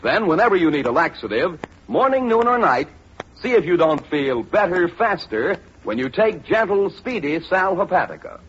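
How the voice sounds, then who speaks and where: A male announcer gives a polished, scripted sales pitch in 1940s radio style. His fairly high voice moves emphatically up and down. The old recording is dull with faint noise. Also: big pitch swings, normal speed.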